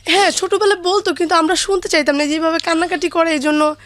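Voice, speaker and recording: A woman's voice: very high-pitched, steady tone, quick pace, a bit bright, no room sound. A woman with a Bangladeshi accent, speaking as a guest answering interview questions.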